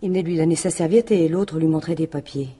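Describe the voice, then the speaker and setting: Radio mystery widow: French, dark and raspy tone, plain-spoken, jealous, guarded, stumbling over her phrases. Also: low-pitched. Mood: confiding. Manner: halting, colloquial, defensive. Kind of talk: 1950s-style French radio mystery acting.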